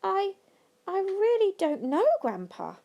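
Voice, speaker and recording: A woman's voice: very high voice, sing-song, takes it slowly, gravelly, darkish tone, muffled recording, voice pushed up, heavily acted. A woman voicing an earnest, curious little boy who questions everything eagerly. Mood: hesitant, puzzled.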